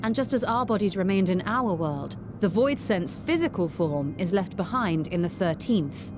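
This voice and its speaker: A woman playing a scholarly, adventurous sage in a fantasy video game, speaking in a measured, articulate, formal and old-fashioned way. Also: mid-range voice, animated, noisy background, close to an everyday voice. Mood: composed, explanatory. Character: scholarly adventurous woman explaining arcane theory, composed and confident.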